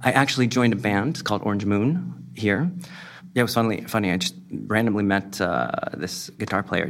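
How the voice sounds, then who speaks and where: Low-voiced man chatting loosely. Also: a little hiss or hum. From United States. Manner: relaxed, rambling with frequent fillers. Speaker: musician. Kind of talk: casual interview talk.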